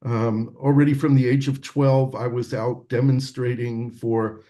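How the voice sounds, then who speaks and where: Measured older American man, mellow voice. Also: medium pitch, flat delivery. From United States. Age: 60s. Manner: measured, reflective, some hesitation. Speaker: guest. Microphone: video call.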